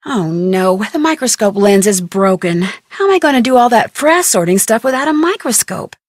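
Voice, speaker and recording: A woman playing an inquisitive, polite and persistent young American amateur detective, speaking scripted adventure game dialogue in an earnest, expressive way. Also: mid-range voice, moderate pace, no echo, studio quality. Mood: musing. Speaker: video game voice actress.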